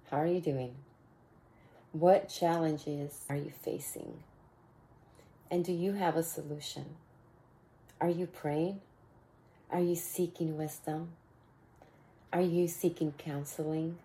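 Slow, low-pitched raspy woman host. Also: fairly even intonation. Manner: warm mentoring tone, repeats phrases, bursts of laughter. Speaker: podcast host. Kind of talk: casual motivational podcast talk.

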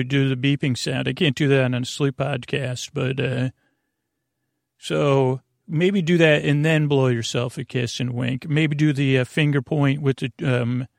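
A man hosting a bedtime podcast with a creaky, gravelly voice, meandering and halting in a goofy, self-deprecating monologue that keeps going off topic. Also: medium pitch, dry recording, studio quality. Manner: meandering, halting, goes off topic mid-sentence. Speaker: podcast host.

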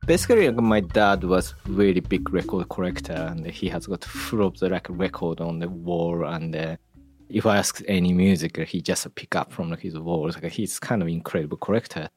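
A man from Japan with a Japanese accent, speaking as an interview guest in a halting way, with lots of "like" and "you know". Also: deep voice, a little mellow, soft background music. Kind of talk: casual interview chat.

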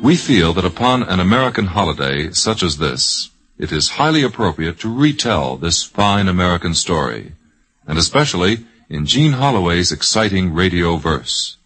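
A man's voice: deep voice, sing-song, moderate pace, fairly bright tone, husky, faint music behind, low-quality recording. A man announcing a radio drama with formal, dramatic, scripted delivery in 1940s style.

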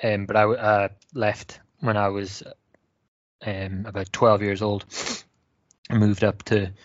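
A man's voice: fairly low pitch, level tone, takes it slowly, no room sound, dull audio. A man from Wales who speaks with a Scottish accent, a podcast guest answering thoughtfully with frequent restarts. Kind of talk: casual podcast chat.

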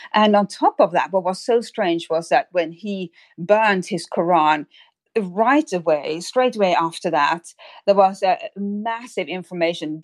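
Woman from Sweden, lower voice, expert commentator in interview, explanatory delivery, dry close recording. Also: no room sound. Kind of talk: analytical interview answer.

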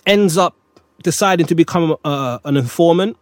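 A man's voice: fairly high pitch, normal speed, no echo, studio quality. A man co-hosting a casual talk, reflective and repeating phrases as he thinks. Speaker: podcast co-host. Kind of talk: casual chat.

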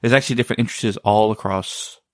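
Low-voiced guy rambling casually, keeps hunting for words, dry close sound. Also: quick pace, dry recording. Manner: rambling, often searching for words. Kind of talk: casual chat.

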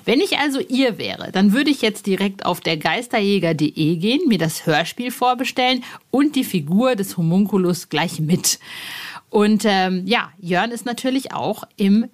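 A woman's voice: mid-range voice, lively intonation, moderate pace. A woman hosting a fan podcast in an informal, playful way, speaking directly to her listeners. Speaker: podcast host. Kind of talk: chatty fan podcast presenting.

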